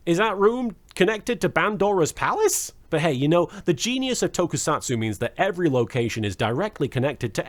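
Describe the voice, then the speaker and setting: Animated, wry man recapping a show. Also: on the high side. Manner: wry, sarcastic asides, casual swearing. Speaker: host, reviewer. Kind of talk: comedic episode recap commentary, semi-scripted.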